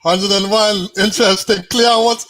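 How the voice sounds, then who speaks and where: A man's voice: high-pitched, steady tone, normal speed, a bit bright. A man hosting an informal talk show, often repeating his words and phrases as he goes.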